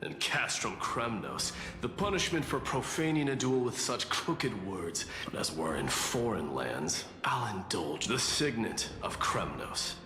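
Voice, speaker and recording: Slow, haughty warrior prince. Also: male voice, on the low side, sing-song, background noise. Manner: imperious, contemptuous, formal. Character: proud, haughty warrior prince, hot-headed and scornful. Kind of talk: video game voice acting. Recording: long reverb with music behind.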